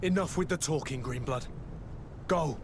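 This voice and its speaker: A loyal veteran master of arms played by a male actor in a video game. He is blunt and protective, somewhat high in pitch for a man, with faint noise behind. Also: normal speed, lightly acted. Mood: urgent, blunt.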